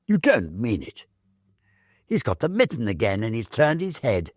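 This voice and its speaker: A young gentleman of the Victorian club acted by a male story reader, bantering and full of mock drama. His pitch leaps about and sits above a calm reading tone. It is a dry storybook recording. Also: moderate pace, no echo. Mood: incredulous, teasing.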